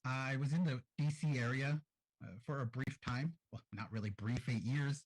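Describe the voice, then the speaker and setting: Husky-voiced man introducing himself. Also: mid-range voice, steady tone, normal speed, rough recording. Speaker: panelist. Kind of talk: panel self-introduction.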